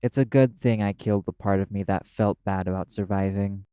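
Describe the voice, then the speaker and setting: A man's voice: fairly low pitch, steady tone, fairly quick, mellow, smooth, no echo, lightly acted. A man playing a brash, cynical male demon who speaks bluntly and scornfully in an audio roleplay. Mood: cynical, dismissive. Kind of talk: dramatic audio roleplay addressed to the listener.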